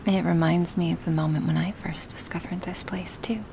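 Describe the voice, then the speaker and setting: Woman acting as a tender dragon girl, low-pitched, creaky, formal wording, soft ASMR roleplay delivery. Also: fairly even intonation, background noise. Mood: awed, tender. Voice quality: breathy, half-whispered. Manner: slow, formal, careful phrasing. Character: gentle, apologetic female dragon, formal and tender.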